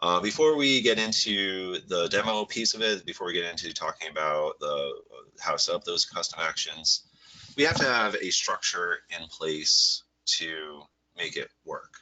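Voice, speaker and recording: Low, bright-edged nasal man with a cold. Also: normal speed, a little hiss or hum, low-quality recording. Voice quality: slightly nasal. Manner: relaxed, rambling, filler-heavy explaining. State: head cold. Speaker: software workshop presenter. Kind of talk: live webinar walkthrough with screen share.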